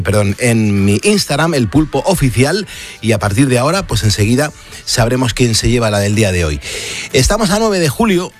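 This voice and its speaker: A man hosting a late-night radio show, talking casually with frequent fillers and restarts. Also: mid-range voice. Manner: chatty, hesitant fillers and restarts. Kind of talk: casual on-air chat and live ad read. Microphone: studio broadcast mic.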